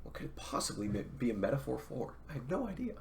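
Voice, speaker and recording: A man's voice: mid-range voice, big pitch swings, fast, darkish tone, slight background noise. A young man reading a classic novel aloud on a stream, breaking off for chatty, self-correcting asides to his viewers.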